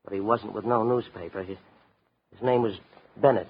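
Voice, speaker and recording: An actor playing a cagey, slangy big-city informant with a husky voice in a 1950s radio drama. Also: male voice, fairly low pitch, fairly even intonation, moderate pace. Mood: sullen. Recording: muffled 1950s radio recording.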